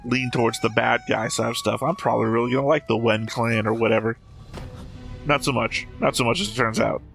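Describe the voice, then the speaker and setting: A man giving casual, dryly understated commentary as he watches an animated series. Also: medium pitch, brisk, vocal fry. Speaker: reaction video host. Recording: music playing behind the voice. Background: show soundtrack music.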